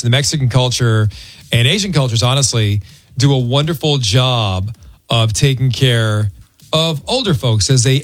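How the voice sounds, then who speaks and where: A man's voice: medium pitch, dry recording. A man hosting a radio show, chatting casually and teasing playfully into a close studio microphone. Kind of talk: casual on-air chat, teasing banter.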